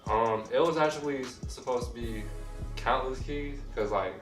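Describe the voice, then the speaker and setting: A man in his twenties who grew up in Thailand, a music producer chatting casually in an interview, relaxed and halting with lots of fillers and restarts. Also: mid-range voice, far from the mic. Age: 20s. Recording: echoey room, off mic, loud music behind.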